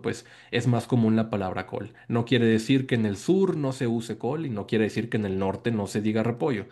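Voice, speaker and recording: A Mexican-accented man presenting research to an online group. His voice is dark and mellow at an ordinary pitch. He explains step by step, repeats key words and asks for agreement as he goes. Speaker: guest lecturer presenting research. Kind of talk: academic presentation on a video call. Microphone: video call microphone.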